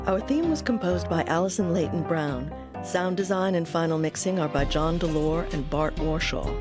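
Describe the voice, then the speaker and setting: A woman reading scripted narration as the narrator of a documentary. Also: lowish voice. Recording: clear music bed under voice. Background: music.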